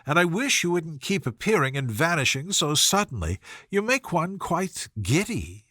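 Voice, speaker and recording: A man's voice: on the high side, sing-song, normal speed, sharp tone, dry recording, studio quality, heavily acted. A man voicing a polite, curious little girl in a storybook, earnest and questioning. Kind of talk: storybook character voice.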